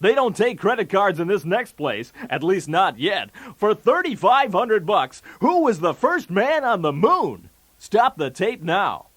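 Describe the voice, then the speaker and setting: High-pitched, swooping game show host. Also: male voice, big pitch swings, measured pace, fairly bright tone, full character voice. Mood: showy, wisecracking. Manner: showy, jokey, with punchy delivery of gags. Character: brash wisecracking male TV game show host. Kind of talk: 1980s game show patter.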